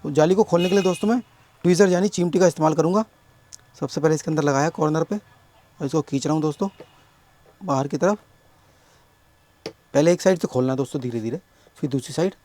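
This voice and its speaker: A man with a sore, hoarse throat explaining a speaker repair step by step at his workbench, often addressing viewers as friends. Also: a little high, dark tone. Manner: explains slowly, keeps calling viewers friends. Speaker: electronics repair technician.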